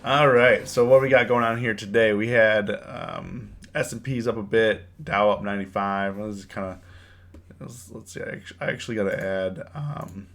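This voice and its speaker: A man with a cold and a hoarse voice, hosting a casual live stream and chatting with his viewers, often repeating his words. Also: lowish voice, normal speed, a bit bright. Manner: rambling, repeats words, reads chat aloud. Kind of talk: casual live stream chat about trading.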